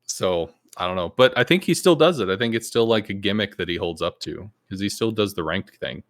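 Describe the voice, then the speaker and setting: Low-voiced guy hosting a gaming podcast, chill and sweary, yelps when he quotes people. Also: expressive, a little fast. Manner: laid-back, profane, acts out quoted players in sudden high outbursts. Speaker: podcast host. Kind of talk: casual gaming chat.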